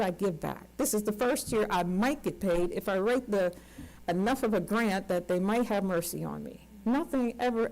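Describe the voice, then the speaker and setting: A woman's voice: on the high side, a little mellow, rough recording. A woman in her sixties speaking on a conference panel as a community organizer, earnest and emphatic, repeating words for stress. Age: 60s. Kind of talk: unscripted panel remarks.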